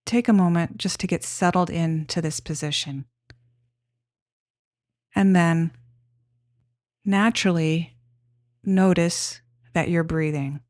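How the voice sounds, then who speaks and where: A woman leading a guided meditation with gentle, unhurried, simple instructions. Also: on the low side, dry recording, studio quality.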